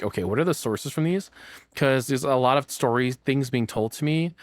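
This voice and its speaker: A man's voice: medium pitch, dry recording. A man giving casual, off-the-cuff reaction commentary as a streamer, rambling, joking and swearing as he goes.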